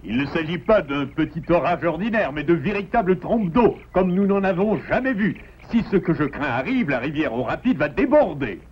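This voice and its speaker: A man's voice: fairly high pitch, animated, moderate pace, few pauses, slight background noise, close to an everyday voice. A man voicing a formal, solemn, scholarly father beaver in a cartoon dub. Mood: grave. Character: grave, preoccupied scholarly father beaver, adult male. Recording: dull lo-fi soundtrack with music behind.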